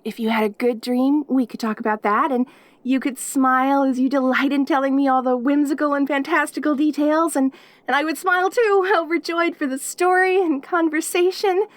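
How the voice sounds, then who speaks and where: Woman playing a high-pitched, clingy demon girl. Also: steady tone, normal speed, no room sound, natural voice. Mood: eager, doting. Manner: eager, stammering, giggly, devoted. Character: perky, lonely, clingy yandere demon girl, centuries old. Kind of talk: intimate audio roleplay addressed to listener.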